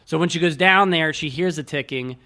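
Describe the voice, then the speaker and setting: A man's voice: a little high, a little fast, bright, forceful tone. A man co-hosting a film podcast, talking casually and in a rambling way.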